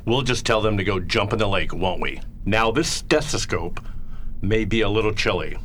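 Man acting as folksy family doctor, low forceful voice, condescending and coaxing, radio play, noisy background ambience. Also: lively intonation, normal speed. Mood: reassuring. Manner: coaxing, patronizing, says "we" to patient.

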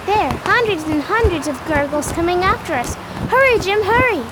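An eager young girl, played by a woman, earnest and caring, with a high voice. She speaks expressively as a radio play adventurer over clear background noise. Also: lively intonation, brisk. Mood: excited.

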